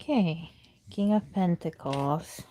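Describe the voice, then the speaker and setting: A woman's voice: fairly low pitch, takes it slowly, warm tone. A woman whose first language is Spanish, doing a chatty live tarot reading and answering questions from chat as she thinks aloud. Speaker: tarot reader.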